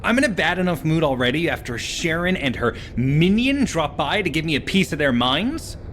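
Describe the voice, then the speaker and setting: A man's voice: on the high side, very animated, moderate pace, a bit bright, a little hiss or hum. A man playing a snide, moody scientist in a video game, sarcastic, dismissive and theatrical. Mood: irritated, dismissive. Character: snide, moody, irritable male scientist who dropped out. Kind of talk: video game cutscene acting.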